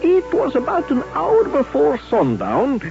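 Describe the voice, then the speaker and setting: An actor narrating an old radio western in a scripted storytelling manner. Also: male voice, extremely high pitch, wildly swooping pitch, unhurried, gravelly, full character voice. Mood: narrative. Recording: dull old-time radio recording. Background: music.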